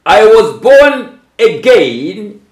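An elderly Tanzanian churchman in a small, echoey tiled room, slowly and deliberately setting out his beliefs a phrase at a time for an interpreter. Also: high-pitched, sing-song. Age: 70s. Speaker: archbishop. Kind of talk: religious address given phrase by phrase for an interpreter.

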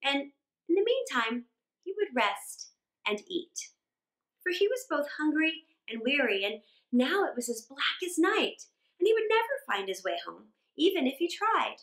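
A middle-aged woman tells a children's story in a high voice with big swings of pitch. She stretches key words for dramatic emphasis. The room adds a noticeable echo. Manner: expressive, drawn-out emphasis. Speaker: storyteller reading aloud for children. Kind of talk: dramatic scripted story reading. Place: small indoor room. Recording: roomy indoor echo.

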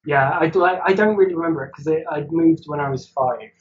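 A man's voice: on the high side, highs cut off. A man from Wales, chatting as a guest artist over a video call, rambling and hesitant with frequent laughs. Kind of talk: casual chat.

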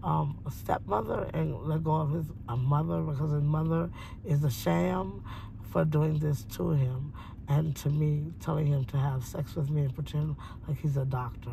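A woman in her forties talking at length from a parked car, meandering with many ums and pauses. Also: sits low, creaky, darkish tone, slight background noise, low-quality recording. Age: 40s. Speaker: interviewee. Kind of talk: rambling personal monologue. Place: parked car by a window, daytime.

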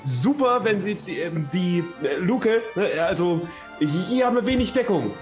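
A man's voice: high-pitched, animated, moderate pace, faint music behind, voice pushed up. A man voicing a fussy, polite protocol droid, deferential and hesitant, in a tabletop space-opera game. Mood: anxious, polite. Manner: deferential, hesitant, formal address.

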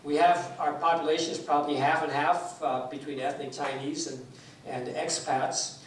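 A man's voice: on the high side, little pitch movement, moderate pace. Older guy preaching in a church, lots of ums and restarts, mic far off, big echo, faint room hum. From Taiwan. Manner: conversational, with frequent fillers and restarts, gesturing while speaking. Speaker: guest speaker, pastor. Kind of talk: informal sermon storytelling. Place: church sanctuary, indoors. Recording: echoey church hall, distant mic.

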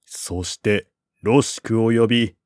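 A man reading literary narration as an audiobook narrator, in a measured, formal storytelling manner. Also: fairly low pitch, steady tone, darkish tone, no echo, clean studio sound.

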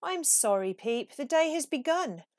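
Woman as a firm, kindly bird conductor, lively pitch. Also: unhurried, dry recording, studio quality. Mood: firm, apologetic.

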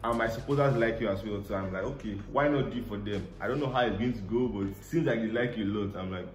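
A young man from Nigeria speaks in a playful, teasing way, laughing often. His voice sits a little low and his words come quick and slangy. He records on a phone close up in an echoey living room. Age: young adult.

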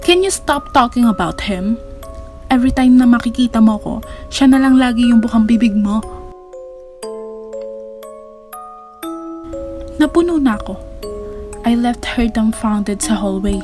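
A woman's voice: fairly high pitch, a little fast, darkish tone, soft background music, close to an everyday voice. A woman with a Filipino accent playing a resentful, anxious young narrator in an amateur horror audio drama. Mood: fed up.

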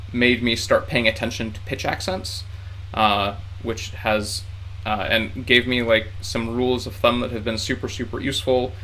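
A man's voice: medium pitch, sharp tone, poor audio. A man in his thirties chatting casually to camera in a small room, rambling with lots of fillers and restarts. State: tired from heat. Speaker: vlogger learning Japanese.

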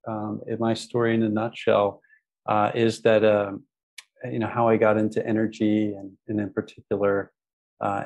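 A man's voice: lowish voice, a little mellow, breathy edge, rough recording. An American man from the United States, a podcast guest who talks casually with many ums and restarts. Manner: friendly, frequent ums and restarts. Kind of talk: casual interview talk.

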